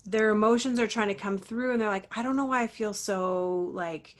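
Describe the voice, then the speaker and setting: A woman's voice: mid-range voice, moderate pace. A woman voice teacher and coach, an interview guest who talks earnestly and encouragingly, with lots of casual fillers. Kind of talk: interview talk.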